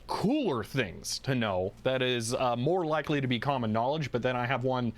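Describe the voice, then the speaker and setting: A man hosting a livestream, chatting casually and thinking aloud in a halting way as he answers viewers. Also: medium pitch, expressive. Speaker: livestream host.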